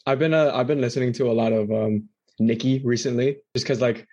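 A man's voice: mid-range voice, fairly even intonation, brisk, a little mellow, dull audio. A young man from Indonesia co-hosting a casual podcast, telling stories in a rambling way with lots of "like" and laughter. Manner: rambling, heavy filler "like", laughs often. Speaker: podcast co-host. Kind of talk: casual chat.

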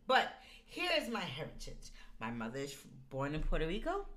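An American woman hosting a podcast, telling stories in an emphatic, animated way in casual group chat. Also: low-pitched, normal speed. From United States. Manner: emphatic storyteller, animated, laughs often. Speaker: podcast host.

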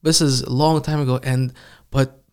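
A man with a middle-pitched voice speaks in a steady, flat tone as an interview guest. He tells his stories calmly and thoughtfully, without much rise and fall in his voice. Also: normal speed, smooth. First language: not Arabic. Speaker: Quran reciter, guest. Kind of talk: casual interview.

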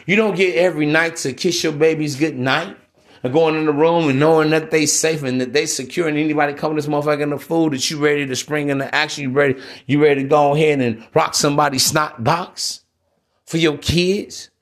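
A man's voice: a little high, fairly even intonation, a little fast. A man with an American accent giving an informal, blunt monologue to listeners, slangy and preachy, repeating himself for emphasis. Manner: emphatic repetition. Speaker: podcast host.

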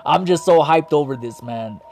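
An excited man, rambling through a wrestling recap and losing his voice as he goes. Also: fairly high pitch, moderate pace, a little hiss or hum. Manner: hyped, rambling, heavy on "man" and "like". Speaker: wrestling show host. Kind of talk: excited casual commentary.

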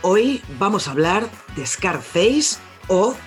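A high-pitched man with a Spain Spanish accent hosts a casual film podcast. He talks in a chatty, easy way, steering his co-hosts along. Faint music plays under his voice. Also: sing-song, slow pace. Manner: chatty, runs the show and announces a giveaway. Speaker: podcast host.

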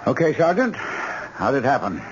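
Brisk commanding male doctor, dull radio recording. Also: medium pitch, very animated, background noise. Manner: clipped, authoritative, giving orders. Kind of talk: old-time radio drama acting.

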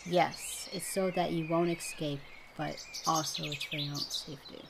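A woman's voice: deep voice, steady tone, normal speed, vocal fry, noisy background. A woman voicing a curt, bossy female slasher who is coldly commanding and grudgingly protective, in a roleplay audio drama. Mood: stern.

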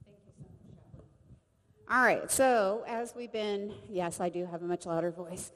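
A woman's voice: medium pitch, moderate pace. A woman hosts a church service in an earnest, conversational way. Her voice sits in the middle range and carries a long reverb from a big hall. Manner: earnest, conversational, warm address to the group. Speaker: ministry leader leading a service. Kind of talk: church service hosting and prayer.

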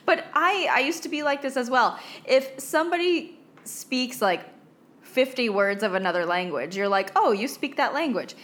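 A woman's voice: on the high side, moderate pace, fairly bright tone. An American woman co-hosting a podcast in casual chat, speaking in a joking, self-deprecating way. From United States. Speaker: podcast co-host.

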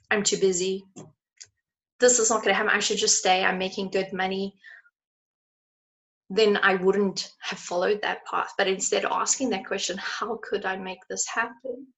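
Podcast guest, middle-aged woman, mid-pitched voice with gravelly fry, earnest and reflective. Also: fairly even intonation, fairly bright tone, low-quality recording. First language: not German. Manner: earnest, thoughtful, measured. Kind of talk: reflective interview answer.

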